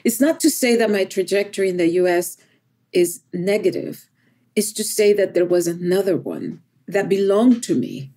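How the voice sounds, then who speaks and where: A woman's voice: mid-range voice, sing-song, normal speed, dark tone. A middle-aged woman from El Salvador, a poet whose first language is Spanish, speaking thoughtfully in an interview and often adding "right?". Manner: thoughtful, repeats words, often adds "right?". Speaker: poet, podcast guest. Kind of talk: reflective interview conversation.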